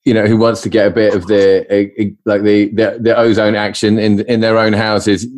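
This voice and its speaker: Low-voiced male podcast host. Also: little pitch movement, moderate pace, a little mellow. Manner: hesitant, many fillers, jokey. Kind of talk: casual podcast interview.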